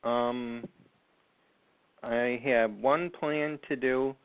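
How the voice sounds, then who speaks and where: A man hosting a call-in radio talk show, speaking slowly with frequent ums and pauses. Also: medium pitch, steady tone, smooth, dry recording. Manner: slow, halting, many ums. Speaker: host. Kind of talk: casual radio chat. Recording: tinny phone line, dry.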